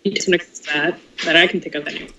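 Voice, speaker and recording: A woman's voice: deep voice, fairly even intonation, a little fast, sharp tone. Young woman, echoey and distant on a call. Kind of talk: casual group call chat. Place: online group voice call.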